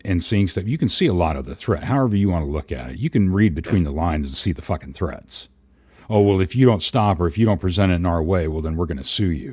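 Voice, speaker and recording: A man's voice: sits low, darkish tone, dry recording, clean studio sound. A man in casual livestream commentary, blunt and profane, rambling through his opinions.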